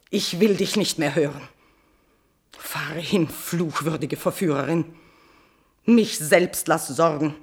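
An actress playing an anguished, guilt-ridden, proud queen with a husky voice, declaiming verse in a classical German radio tragedy. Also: on the low side.

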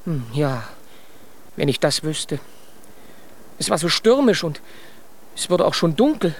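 An actor in a children's radio play voicing a proud but dreamy, scatterbrained stork with a good-natured, musing manner. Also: male voice, fairly high pitch, big pitch swings, a little slow, heavily acted. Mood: musing, sheepish.

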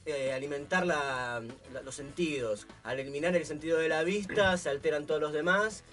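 A man's voice: a little high, normal speed, a bit bright, faint music behind. A man, a musician chatting casually as a guest on a radio interview. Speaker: musician in improv group, guest.

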